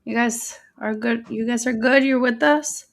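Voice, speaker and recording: Very creaky-voiced woman on a trading livestream. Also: on the high side, fairly even intonation, unhurried. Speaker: live trading stream co-host. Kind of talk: casual live market commentary.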